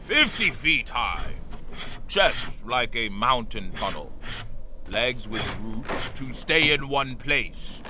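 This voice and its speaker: An actor playing an obsessed, raging old sea captain in an audio drama, theatrical and grimly sardonic in archaic speech. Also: male voice, mid-range voice, very animated, slow. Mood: brooding, sardonic. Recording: clear noise behind the voice.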